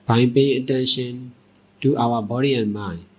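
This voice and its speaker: A man teaching as a Buddhist monk, leading a guided meditation in deliberate short phrases with long pauses. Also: medium pitch, steady tone, breathy edge. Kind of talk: guided meditation dhamma talk. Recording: dull, muffled lo-fi recording.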